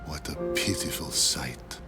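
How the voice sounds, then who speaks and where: A man acting a weary, observant intelligence officer, narrating a game story in a formal, measured, melancholy manner. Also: very deep voice, loud music behind the voice. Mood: grave. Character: weary, observant military intelligence officer of an occupying air force. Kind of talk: scripted dramatic narration.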